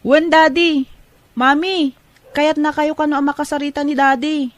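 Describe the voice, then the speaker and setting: A woman's voice: high voice, little pitch movement, moderate pace, dry recording, lightly acted. A woman playing a sweet, eager, dutiful young daughter in an audio drama.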